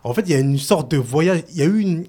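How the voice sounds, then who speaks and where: A man's voice: a little high, animated, fast, mellow. A man from France, a journalist and author, explaining his subject in casual talk-show chat with frequent hesitations. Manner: hesitant, many fillers.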